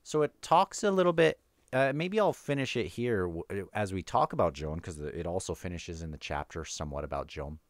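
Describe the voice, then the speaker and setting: Man, low pitch, dry sound, casual book discussion host, halting delivery, thinking out loud. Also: expressive, dry recording. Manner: halting, rambling, thinking aloud. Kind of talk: casual read-along commentary with quoted passages.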